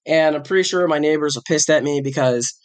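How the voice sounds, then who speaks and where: A man's voice: fairly high pitch, a little fast, clean tone. A man in his forties hosting a podcast, chatting casually and rambling with restarts, a little sick with a cough. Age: 40s. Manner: rambling, self-correcting, chatty. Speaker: podcast host.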